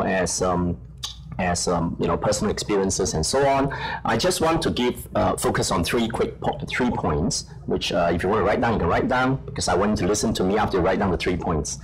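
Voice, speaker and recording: A middle-aged man, a native Chinese speaker born in China, speaking as a journal editor on a conference panel, brisk and self-deprecating with frequent fillers. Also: lowish voice. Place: conference hall. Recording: audience noise in a conference hall.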